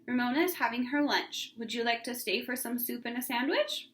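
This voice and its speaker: A woman voicing a kind, gently reassuring mother in a children's storybook read-aloud. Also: a little high, fairly quick, natural voice. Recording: echoey room.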